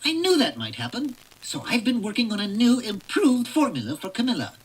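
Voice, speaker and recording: A woman's voice: on the high side, huge pitch swings, brisk, slightly rough, distant mic, heavily acted, poor audio. A woman voicing an eager male puppet scientist in a storybook read-along, explaining his inventions earnestly.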